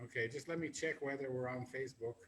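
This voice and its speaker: A man's voice: mid-range voice, fast talker, background noise. A man hosting a casual video call interview, informal and joking with his guest. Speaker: host.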